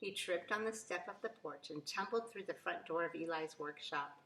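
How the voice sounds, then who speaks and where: A woman reading a children's storybook aloud in an expressive, deliberate storytelling way, with emphasis on key words. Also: on the low side, a little hiss or hum. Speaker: children's story reader, preschool teacher. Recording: echoey room.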